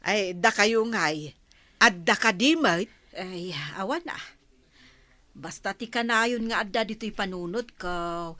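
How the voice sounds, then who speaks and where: A woman's voice: medium pitch, takes it slowly, bright, forceful tone. A woman playing a chatty, teasing village housewife in a conversational radio drama.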